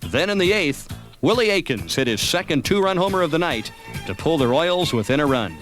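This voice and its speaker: Lively American sports narrator over music. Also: male voice, fairly high pitch, a little fast. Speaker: documentary narrator. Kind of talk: scripted sports recap narration.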